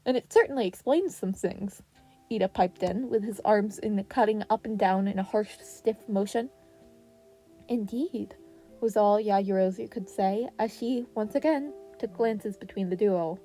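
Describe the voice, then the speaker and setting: A woman narrates a fan fiction podfic in a soft, mellow voice. Her storytelling is expressive and sits at a medium pitch, with music playing underneath. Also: normal speed, hardly any pauses, rough recording.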